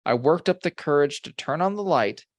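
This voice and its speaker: Higher-voiced man reading spooky stories, dry close sound. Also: lively intonation, normal speed, no room sound. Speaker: podcast co-host. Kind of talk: reading ghost stories aloud with joking asides.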